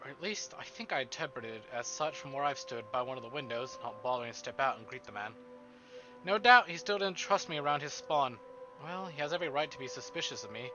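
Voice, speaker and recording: A man streaming a visual novel, moving between casual chat and expressive, theatrical story reading. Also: medium pitch, quick pace. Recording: dull band-limited stream, music behind.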